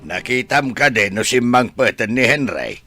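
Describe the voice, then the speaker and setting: A man acting an irritable, excitable village gossip in a radio drama, grumbling in a rough, raspy voice. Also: medium pitch, moderate pace, faint music behind.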